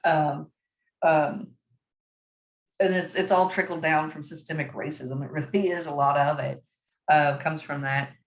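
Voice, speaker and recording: A woman's voice: deep voice, steady tone, a little slow, pauses often, reverberant. A woman moderating a class discussion forum on a video call, talking conversationally and often checking in with "right?".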